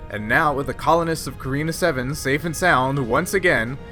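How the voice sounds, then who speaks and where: A man's voice: mid-range voice, a little fast. Man running a tabletop game over music. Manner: conversational, explanatory. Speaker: game master. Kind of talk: narrating a tabletop roleplaying game. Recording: music playing behind the voice.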